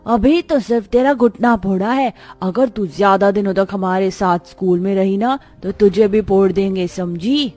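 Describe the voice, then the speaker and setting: A woman voicing a haughty, spoiled rich schoolgirl who talks with scorn and menace in an animated story. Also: mid-range voice, moderate pace, few pauses, close to an everyday voice. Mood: gloating, threatening. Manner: scornful, snobbish, threatening. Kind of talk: Hindi animated moral story dialogue. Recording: music behind the voice.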